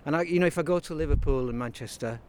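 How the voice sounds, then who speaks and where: A man's voice: a little high, fast, a little mellow, slight background noise. Reflective man in his fifties. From Burnley, Lancashire, England. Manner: rambling, reflective, frequent restarts. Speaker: interview guest, writer and songwriter. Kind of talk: casual interview conversation.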